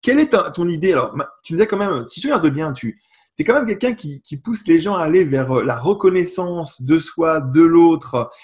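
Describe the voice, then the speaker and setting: A man's voice: a little high, expressive, moderate pace, darkish tone. A man hosting an interview, asking questions with some hesitation and many fillers. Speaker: interview host.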